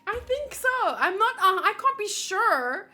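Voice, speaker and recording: A woman game streamer with a high, bright voice that jumps around in pitch. She chats casually and reacts live, rambling in a playful, gushing way with some swearing. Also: sing-song, a little slow, soft background music.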